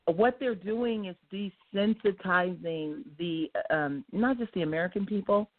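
A soft-spoken woman calling in, giving earnest, rambling commentary with many restarts. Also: medium pitch, measured pace. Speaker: caller. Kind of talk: call-in commentary. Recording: tinny phone line.

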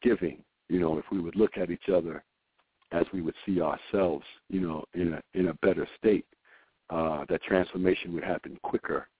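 A hoarse man calling in to a radio show, talking in an earnest, rambling way with many fillers. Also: deep voice, fairly even intonation, moderate pace, dry recording. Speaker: caller. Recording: dry, tinny phone line.

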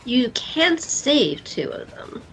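A woman playing a calm, gently amused goddess of death in an audio fantasy drama, speaking deliberately and soothingly. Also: high-pitched, creaky, a little hiss or hum, full character voice, poor audio. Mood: curious, gentle.

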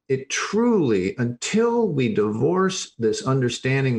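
A mature man talks as an expert guest in an informal interview. His pitch sits in a medium range, and he explains with emphasis, often correcting himself and repeating phrases as he goes. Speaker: podcast guest, addiction recovery expert.

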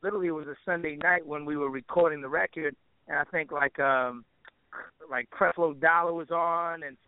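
A man's voice: on the high side, fairly even intonation, brisk, clear, resonant tone, narrow, tinny audio. A man chatting casually as an interview guest, with informal, slangy phrasing and frequent "you know" asides. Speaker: interview guest, musician and producer.

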